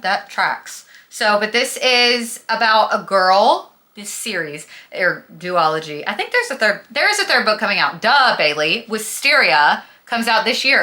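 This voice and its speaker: A woman's voice: on the low side, sharp tone. A young adult woman with a slight lisp, chatting casually and emphatically about books to camera in a gushing, dramatic way. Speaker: book vlogger and host.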